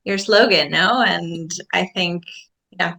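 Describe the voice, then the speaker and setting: A woman's voice: lowish voice, slow pace, vocal fry, sharp tone. A woman hosting a casual video-call interview, upbeat and saying "like" a lot. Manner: upbeat, frequent "like". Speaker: podcast host.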